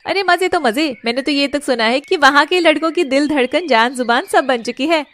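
A woman's voice: sits high, normal speed, fairly bright tone. A woman voicing a catty, teasing young fairy in a cartoon, with mocking, gossipy delivery.

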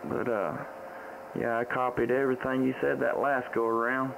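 A man's voice: mid-range voice, normal speed, vocal fry. Folksy Southern US man, faint static. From United States. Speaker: ham radio operator, live-stream host. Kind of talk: casual radio contact chat. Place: small indoor home radio room. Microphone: boom-arm studio microphone, close, with headset. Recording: steady radio static noise.

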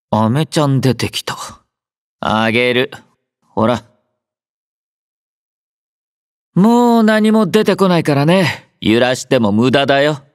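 A young male android soldier from a video game, gentle and composed. He speaks softly and slowly, with wide, expressive swings in pitch and a drowsy, mildly teasing care. The voice is close and dry. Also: dry recording. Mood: playful, patient. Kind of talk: Japanese game character voice acting.

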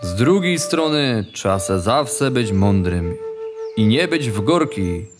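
A man playing a wise, fatherly old sheepdog in an audio play, with a Polish Goral highland accent and a measured, counselling manner. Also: big pitch swings, over music. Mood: proud, wise. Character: wise, fatherly old sheepdog praising his young son. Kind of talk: audio play storytelling in dialect.

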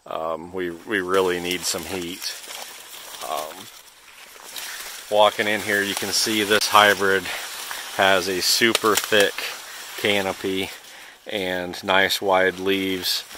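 A man's voice: low-pitched, fairly even intonation, slow pace, pauses often, background noise. A man with a Midwestern American accent talks casually and unscripted as a farmer showing his field, in an understated, hedging way, recorded outdoors on a handheld camera. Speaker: farmer hosting a field video. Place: outdoors in a cornfield. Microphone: handheld camera or phone, operator behind it.

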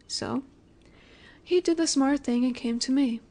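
A woman playing a flirty, menacing, self-assured mafia boss in an audio roleplay, speaking coyly and deliberately. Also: high-pitched, mellow. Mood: composed, sly. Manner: coy, teasing, deliberate, sometimes breathy. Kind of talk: scripted audio roleplay, addressing the listener.

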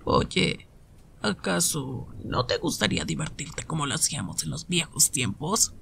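Man voicing a playful anime hero, gravelly, bright, teasing, flirtatious, swinging between exclamations and murmurs. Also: fairly high pitch, very animated, unhurried, slight background noise, heavily acted. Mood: wistful, pleading. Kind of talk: anime fan-dub ASMR roleplay.

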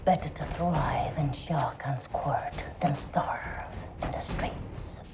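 A woman's voice: deep voice, slow pace, whispered, music in the background. A woman playing a cold, proud sorceress assassin in a video game, haughty and menacing in her delivery. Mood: haughty, taunting. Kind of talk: dramatic video game villain acting. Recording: noisy ambience behind the voice.

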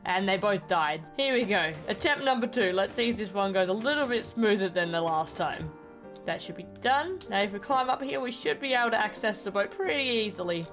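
A young woman giving casual, chatty commentary while playing a game and narrating each step. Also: medium pitch. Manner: chatty, upbeat, self-narrating play. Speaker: gaming channel host. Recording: faint background music.

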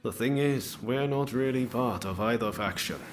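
An actor playing an earnest, idealistic samurai in a video game, sincere and easygoing. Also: male voice, mid-range voice, normal speed, faint music behind, close to an everyday voice. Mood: reflective. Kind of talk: video game cutscene acting.

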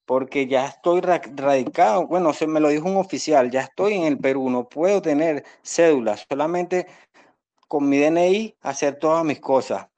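A man's voice: on the high side, normal speed, a little mellow. A young man from Venezuela with a Venezuelan accent, earnestly explaining his case as a defendant at a court hearing over a video call. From Venezuela, born in Caracas. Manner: earnest, repetitive explaining with apologies to the judge. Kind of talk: spontaneous testimony, pleading his case. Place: indoors against a plain wall, on a video call. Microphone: phone at arm's length on video call.

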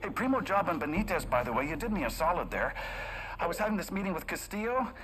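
A man's voice: sits high, sing-song, quick pace, a bit bright, a little hiss or hum, low-quality recording. An actor playing a smug Canadian businessman villain in a video game, sardonic and mock-friendly, with a Canadian accent. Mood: smug, menacing. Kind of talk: video game cinematic acting.

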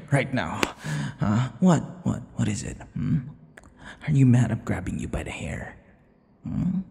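A man playing a possessive, cocky, menacing vampire captor in an ASMR roleplay, taunting in a breathy voice that often drops into whispers. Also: mid-range voice, dark tone, long reverb tail, exaggerated voice. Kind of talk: ASMR boyfriend audio roleplay.

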